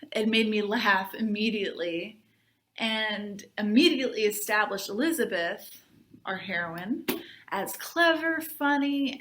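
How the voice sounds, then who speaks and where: A woman reading aloud to children as a librarian, in an expressive, deliberate storybook style. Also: fairly high pitch. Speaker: youth services librarian reading aloud to tweens.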